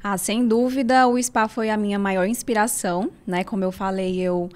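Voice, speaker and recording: Northeastern brazilian woman guest explaining stuff, measured, keeps tagging "right?", mid pitch. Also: steady tone. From Brazil, Ceará. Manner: measured, explanatory, frequent tag questions. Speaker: guest physiotherapist. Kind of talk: interview answers.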